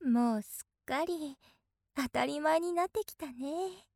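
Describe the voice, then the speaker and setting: A woman's voice: high-pitched, takes it slowly, creaky, a little mellow, no room sound, studio quality, full character voice. A woman playing an obsessive, possessive big sister in a voice drama, coaxing sweetly with an unhinged edge. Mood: blissful. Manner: clingy, coaxing, slipping into intense whispers. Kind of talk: Japanese situation voice drama acting.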